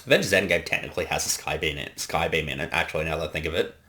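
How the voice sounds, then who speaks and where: A man's voice: extremely low pitch, very fast, bright, forceful tone, a little hiss or hum. A man giving casual, unscripted creator commentary, rambling and often correcting himself as he goes.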